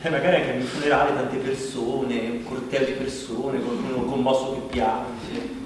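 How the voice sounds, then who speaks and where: A man performing a confessional stage monologue as a self-doubting character, halting and conversational. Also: medium pitch, flat delivery, lightly acted. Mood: wistful. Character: self-doubting man musing on his own funeral. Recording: very echoey hall, distant, faint noise.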